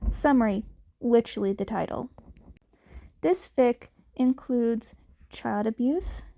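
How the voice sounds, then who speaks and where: A woman's voice: on the high side, vocal fry, dark tone. A woman reading a fan fiction story aloud as scripted audiobook narration. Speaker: podfic narrator.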